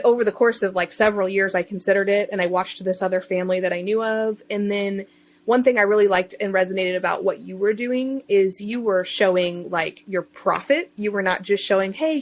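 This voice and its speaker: Female podcast guest, mid-range voice, informal and chatty, casual storyteller who interjects as she goes. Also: medium pitch. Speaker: self-employed digital marketer and online bookseller.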